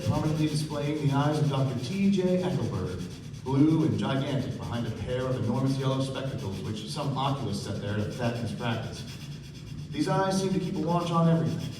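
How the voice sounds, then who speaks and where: A man's voice: medium pitch, close to an everyday voice. Man acting a reserved narrator, echoey and distant. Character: Nick, thoughtful, reserved young male narrator. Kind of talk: live radio play narration. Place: theatre stage with live audience. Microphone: far camera. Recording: roomy stage recording from afar, noisy.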